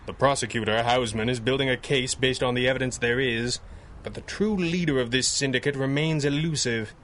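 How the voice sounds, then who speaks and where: An actor playing a stern, vigilant masked superhero in a 1930s-style radio serial, speaking in grave, measured, formal sentences. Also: male voice, medium pitch, fairly bright tone, slight background noise, close to an everyday voice. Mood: grave, determined. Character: stern, vigilant masked male superhero crime-fighter leader.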